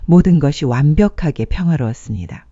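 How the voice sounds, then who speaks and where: A woman's voice: sits low, lively intonation, fairly quick, warm tone, dry recording, dull audio. A woman narrating a sentimental story from a script, as a storyteller addressing listeners.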